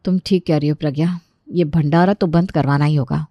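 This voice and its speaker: A woman's voice: low voice, steady tone, fairly quick, dark tone, no room sound, voice pushed down. A woman voicing an overworked, dutiful young daughter-in-law in a children's cartoon story. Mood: resolved.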